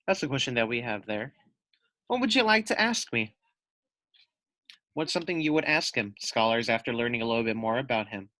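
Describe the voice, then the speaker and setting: A man's voice: medium pitch, expressive, a little fast, long pauses, bright, forceful tone, dry recording. A man giving a read-aloud lesson for young children as their teacher, explaining step by step, posing questions and repeating key points.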